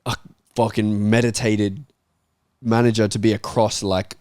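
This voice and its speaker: Low-pitched man in casual football debate. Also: level tone, unhurried, darkish tone, clear, resonant tone. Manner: emphatic, colloquial, swearing. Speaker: football panel show regular. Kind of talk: casual opinionated football discussion.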